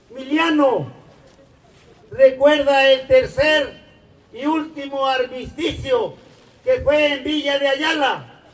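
An older Mexican man reading a letter aloud as a solemn, pleading public oration, declaiming in short phrases with deliberate pauses. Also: extremely high pitch. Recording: voice far from the microphone.

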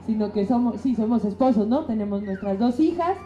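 A woman's voice: on the high side, lively intonation, a little fast, dark tone, a faint crowd. A middle-aged woman with a Mexico City accent, a musician explaining things casually in an interview. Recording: muffled, echoey room, faint noise.

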